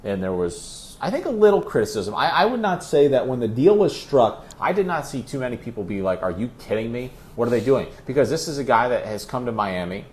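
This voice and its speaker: A man's voice: mid-range voice, sing-song, fairly quick, hardly any pauses, darkish tone. A man working as a radio play-by-play announcer, talking sports as a guest in an emphatic, conversational way with rhetorical questions. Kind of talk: animated sports discussion.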